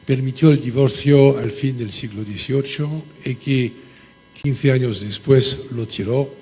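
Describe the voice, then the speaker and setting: A man from France with a French accent, haltingly asking a question from the floor as he searches for words. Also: mid-range voice, a little hiss or hum. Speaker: audience member asking a question. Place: large conference hall. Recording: reverberant hall.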